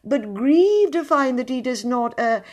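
A prissy, pompous thin poet of a man, put on by a woman reading a storybook aloud, his voice pushed up high and thin. He fusses and stalls over his words with little uh's, fluttering up and down in pitch. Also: animated. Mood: fawning. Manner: fussy, halting with many uh's. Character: prim, pompous thin male would-be poet.

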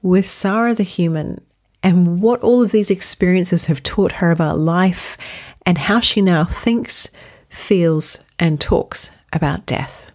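A woman's voice: on the low side, takes it slowly, darkish tone. A woman hosting a podcast, introducing and interviewing a guest in an enthusiastic, conversational way. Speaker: podcast host.

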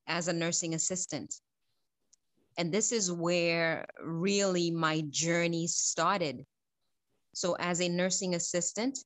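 A middle-aged woman speaks as an interview guest, earnest and reflective as she tells her own story. Her voice sits a little low and moves very unhurriedly. The sound is dry and close. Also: no echo. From Haiti. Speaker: guest, school founder.

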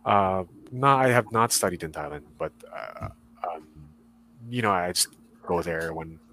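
A man's voice: sits low, lively intonation, a little slow, fairly bright tone, a little hiss or hum, rough recording. A young man with a Southern Californian accent, chatting casually as a guest, rambling with many fillers and restarts. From Philippines. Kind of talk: casual chat.

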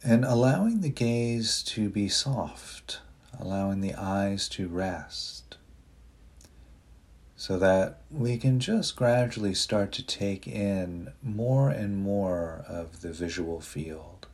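A man leading a guided meditation in a soft, breathy voice, giving gentle, unhurried instructions with long pauses. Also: on the low side, lively intonation.

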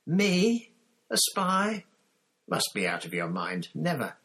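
A man reading an audiobook, voicing a frightened, sweaty man who squeals shrill denials. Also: wildly swooping pitch, slow pace, sharp tone, exaggerated voice. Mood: panicked.

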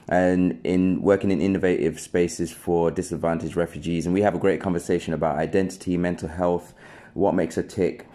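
A man's voice: deep voice, dark tone. A man hosting a podcast interview, encouraging his guest with brief affirmations. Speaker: podcast host.

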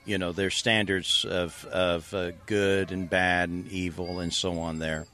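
A man acting as an evangelist interviewer, asking measured, probing questions about faith, with an occasional "uh". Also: low voice, little pitch movement, bright, forceful tone. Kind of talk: street interview with scripted intro.

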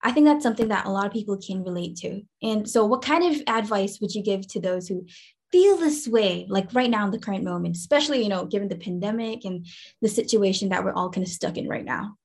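A woman speaks as a show co-host in a casual interview. Her manner is chatty, informal and enthusiastic, in a voice of ordinary middle pitch. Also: expressive, fast, darkish tone, breathy edge.

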